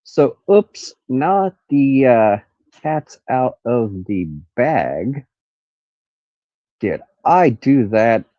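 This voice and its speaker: A man's voice: mid-range voice, sing-song, very slow, warm tone, dry recording, highs cut off. A man with a nasal voice hosting a rambling, casual true crime livestream from an outdoor carport in the country. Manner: rambling, stops to look things up. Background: crickets and cicadas.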